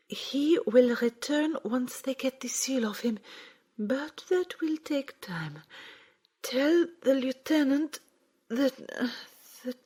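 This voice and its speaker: An actress plays a wounded female fighter whose breath is nearly gone. She speaks slowly and gravely in a weak, husky, highish voice, often slipping into a whisper. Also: darkish tone, full character voice. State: weak, fading.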